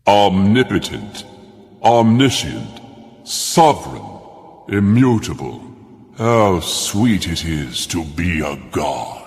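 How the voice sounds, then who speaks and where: Pompous low-voiced god villain, cavernous reverb. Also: male voice, big pitch swings, takes it slowly, heavily acted. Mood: smug, grandiose. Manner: pompous, taunting, theatrical. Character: grandiose mocking male god villain, arrogant and theatrical. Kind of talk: theatrical video game villain dialogue.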